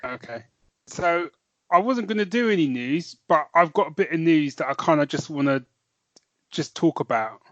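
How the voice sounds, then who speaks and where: A man's voice: a little high, lively intonation, normal speed, a bit bright, dry recording, dull audio. A man co-hosting a podcast in casual chat, laughing easily and restarting his phrases. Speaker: podcast co-host.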